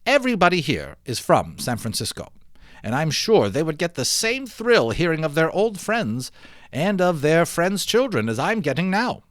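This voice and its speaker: A man voicing a friendly, curious gentleman in a storybook, speaking in a polite, enthusiastic, expressive way. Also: fairly high pitch, moderate pace, no echo, studio quality, pitched above the performer's own voice. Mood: excited.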